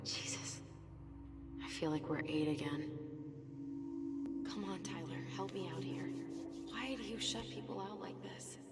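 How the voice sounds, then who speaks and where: An actress playing a loyal, exasperated young American sister in naturalistic video game dialogue. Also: female voice, mid-range voice, unhurried, long pauses, loud music behind the voice, natural voice. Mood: gently exasperated. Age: young adult.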